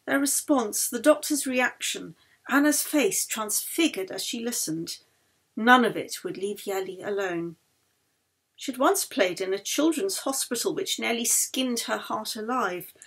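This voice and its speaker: A woman narrating a novel as its author, with dramatic, deliberate phrasing. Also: fairly high pitch, breathy edge. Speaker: author reading their own novel. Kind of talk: expressive audiobook narration. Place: recorded at home.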